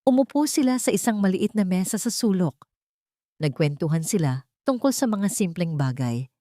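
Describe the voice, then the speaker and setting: A woman narrates a scripted romantic drama in a sentimental, measured storytelling manner. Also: fairly low pitch, big pitch swings, warm tone, no room sound, studio quality.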